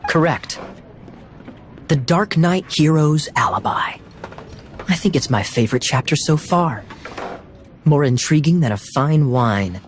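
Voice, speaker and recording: A man's voice: a little high, animated, a little slow, pauses often, a little hiss or hum. A man playing a suave, teasing young knight in a video game, with a smug and playful theatrical delivery. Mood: smug amusement.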